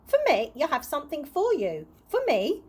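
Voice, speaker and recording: A woman's voice: high-pitched, huge pitch swings, normal speed, voice pushed up. A woman voicing a shy, eager, tender-hearted young girl in a children's storybook, speaking sincerely. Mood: surprised, eager.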